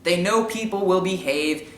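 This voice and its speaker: A man with a high-pitched voice giving informal opinion commentary in an explanatory, argumentative way with emphatic repetition. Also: unhurried, a little hiss or hum. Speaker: commentary show host. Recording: echoey room sound.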